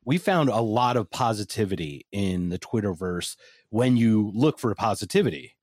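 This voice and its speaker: A man's voice: on the low side, expressive, normal speed, a little mellow, no echo, clean studio sound. A man hosting a casual podcast interview, bantering and joking with his guests. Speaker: podcast host.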